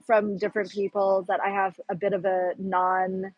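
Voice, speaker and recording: A woman's voice: mid-range voice, level tone, normal speed, husky, no echo, highs cut off. A woman from the Pacific Northwest with an American accent of that region, chatting on a livestream and rambling as she searches for words. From Pacific Northwest, United States. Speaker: livestream host. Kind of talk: casual livestream chat.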